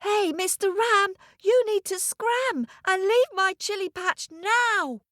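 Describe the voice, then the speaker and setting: A woman's voice: very high-pitched, big pitch swings, unhurried, a bit bright, no echo, clean studio sound, voice pushed up, full character voice. A woman voicing an earnest little boy in a children's story, plaintive and singsong as they plead.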